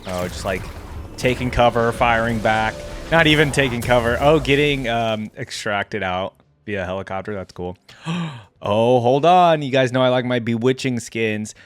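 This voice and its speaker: Casual young man, medium pitch. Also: moderate pace, fairly bright tone. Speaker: reaction video host. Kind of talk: casual reaction commentary.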